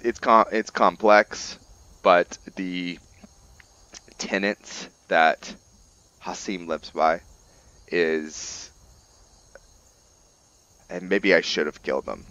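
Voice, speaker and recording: A man's voice: deep voice, a bit bright, highs cut off. A man hosting a gaming video, giving casual roleplay commentary in a musing, thinking-aloud manner.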